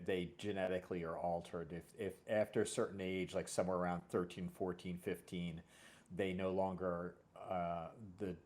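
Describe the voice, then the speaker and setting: Man, lowish pitch, casual interview talk, halting, repetitive phrasing, thinking aloud. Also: darkish tone. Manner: halting, repeats words, often says "you know". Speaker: series creator and show host. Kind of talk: casual conversation about a fiction series.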